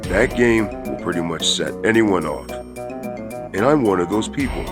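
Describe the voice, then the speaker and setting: A big, shameless cartoon ape played by a man talks in a low voice that moves up and down a lot. He is blunt and boastful, with a short temper and a goofy streak, over music. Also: animated, measured pace. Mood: casual. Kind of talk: scripted comedic cartoon dialogue.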